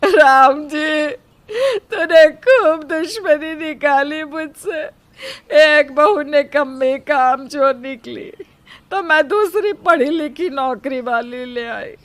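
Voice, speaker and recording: A woman voicing a dramatic, scolding old mother-in-law who complains in a drawn-out, exasperated wail for a cartoon story. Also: high-pitched, a bit bright, no room sound. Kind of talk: cartoon moral story acting.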